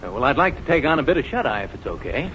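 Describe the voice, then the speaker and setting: An actor playing a folksy, affable, apologetic traveller in a 1940s radio drama, chatty and hesitant. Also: male voice, mid-range voice, very animated, fast, fairly bright tone. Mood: sheepish, friendly. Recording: muffled, noisy 1940s radio recording.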